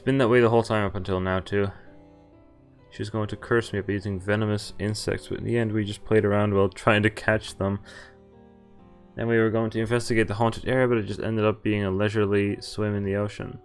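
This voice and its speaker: A man's voice: fairly low pitch, flat delivery, fairly quick, soft background music. A man streaming a visual novel, reading its narration aloud and breaking off for wry comments, swearing and laughing.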